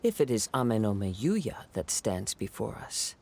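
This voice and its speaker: A man voicing a young male wandering samurai in a video game, composed and measured, reasoning carefully through each clue. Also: lowish voice, sing-song, darkish tone, a little hiss or hum. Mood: calm.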